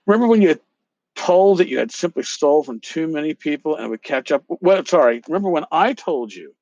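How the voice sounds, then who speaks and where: A man hosting a podcast, talking casually and reading aloud in an emphatic, theatrical, sometimes halting way, on a slightly lo-fi recording. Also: fairly high pitch, animated, a little mellow, dry recording. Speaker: podcast host. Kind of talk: casual talk with dramatic reading of emails aloud.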